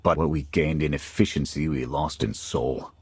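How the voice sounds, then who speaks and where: A man's voice: rumbling low, fairly even intonation, normal speed, husky, no echo, exaggerated voice. An actor playing a jaded male military commander in a video game, talking in terse, clipped soldier phrases. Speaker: squad commander. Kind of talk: video game cutscene acting.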